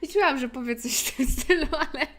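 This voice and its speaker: A young Polish woman giving excitable, playful, giggly reaction commentary as a game streamer. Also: sits high, quick pace. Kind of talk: casual reaction commentary over gameplay. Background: game music.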